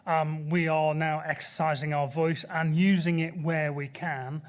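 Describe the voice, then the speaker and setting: A middle-aged man answering at a conference panel, earnest and measured as he presses his point, speaking into a table microphone in a large auditorium. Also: a little high, little pitch movement. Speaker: panelist from a sea rescue group.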